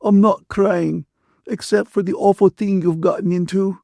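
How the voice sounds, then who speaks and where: A man's voice: a little high, normal speed, dark tone, dry recording, studio quality, pitched above the performer's own voice, close to an everyday voice. A man voicing a bitter, tearful woman in an audiobook, indignant, accusing and wounded. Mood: sobbing, upset. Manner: accusing, emphatic, aggrieved.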